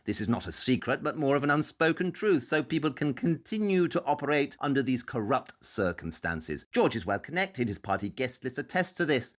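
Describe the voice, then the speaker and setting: Animated, obsessive detective played by a man. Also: mid-range voice, close to an everyday voice. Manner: emphatic, deliberate, argumentative. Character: obsessive male British detective, intense, convinced of his deductions. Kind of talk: audio play acting.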